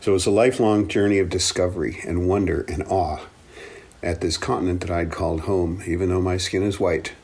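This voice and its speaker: Deep, gravelly older man telling his story. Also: steady tone, fairly quick, darkish tone. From Belgian Congo. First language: English and Swahili. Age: 70s. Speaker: interview guest, African studies professor. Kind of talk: reflective personal storytelling.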